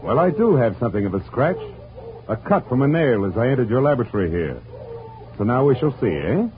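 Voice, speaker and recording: A man's voice: mid-range voice, sing-song, a little fast, natural voice. A man playing a pompous, sneering physician in an old-time radio drama, declaiming with open scorn. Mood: mocking. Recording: tinny old radio recording, faint noise.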